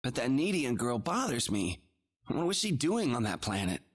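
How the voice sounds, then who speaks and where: A man's voice: medium pitch, big pitch swings, moderate pace, husky. A man playing a suspicious, scheming villain in a video game. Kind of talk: English video game dub acting.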